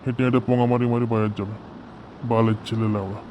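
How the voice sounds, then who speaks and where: Man acting a fast-talking, grumbling Bengali village dad. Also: fairly low pitch, level tone, warm tone, muffled recording, slight background noise, natural voice. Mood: irritated grumbling. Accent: West Bengal Bengali. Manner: grumbling, scolding, heavy cursing. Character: hot-tempered, foul-mouthed village father scolding his lazy son. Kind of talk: amateur comedy cartoon dialogue.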